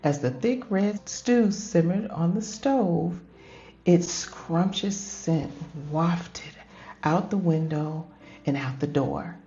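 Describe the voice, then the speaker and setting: A woman's voice: on the low side, darkish tone, highs cut off. An older woman reading a picture book aloud expressively, in a grandmotherly manner, addressing young children and asking them questions.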